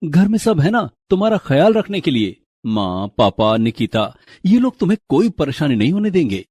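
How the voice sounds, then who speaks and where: A man's voice: a little high, sing-song, normal speed, darkish tone, breathy edge, no echo. Man playing a reassuring young husband, dry sound. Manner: soothing, reassuring. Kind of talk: Hindi moral-story cartoon acting.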